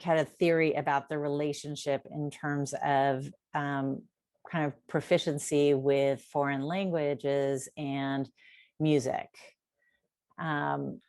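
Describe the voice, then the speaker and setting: A woman hosting an interview, asking questions in a searching, halting way. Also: low-pitched, flat delivery, darkish tone, no echo, studio quality. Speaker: interview host. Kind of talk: casual interview.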